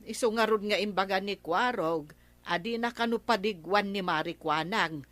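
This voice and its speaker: A woman's voice: medium pitch, moderate pace, fairly bright tone, no echo, natural voice. An actress as a frank, neighborly village wife with an Ilocano accent. She chats in the manner of a comedy radio drama, in a mid-range voice recorded dry and close. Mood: frank, gossipy.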